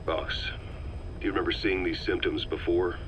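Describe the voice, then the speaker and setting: A low, bright-edged voice of a shrewd veteran soldier in a video game. He talks in a measured, assured drawl, calm and gruff, over faint noise. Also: lo-fi sound. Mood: serious, measured. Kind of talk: video game voice acting, scripted dialogue.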